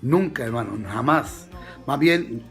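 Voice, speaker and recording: A man's voice: mid-range voice, expressive. Pastor, US-born man, telling his conversion story; earnest, restarts often, light music bed. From United States. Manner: earnest, halting, many restarts and fillers. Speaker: missionary pastor. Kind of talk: testimony in an interview.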